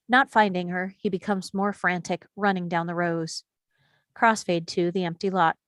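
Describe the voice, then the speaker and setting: A woman reading the action lines of a screenplay at a table read, in a steady, descriptive way. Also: on the low side, no room sound, studio quality. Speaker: reader of stage directions.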